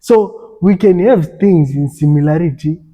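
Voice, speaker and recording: A man's voice: a little high, a little slow, dark tone, breathy edge. A young African man talking earnestly in a casual podcast conversation, often repeating and restarting his words.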